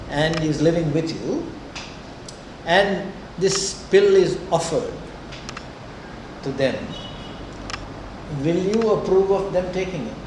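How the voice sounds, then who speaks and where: An older man touched by English, lecturing conversationally and deliberately, often checking in his students. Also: a little high. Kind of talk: classroom lecture with questions to students. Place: indoor lecture room. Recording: echoey lecture room, distant mic, noisy.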